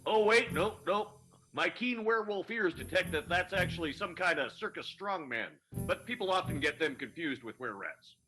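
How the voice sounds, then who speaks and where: A man's voice: high voice, lively intonation, moderate pace, pushed and bright, faint music behind, low-quality recording. A man playing a folksy, patient werewolf mentor in an improvised radio comedy, chatty and explanatory. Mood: eager explaining. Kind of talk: improvised radio play acting.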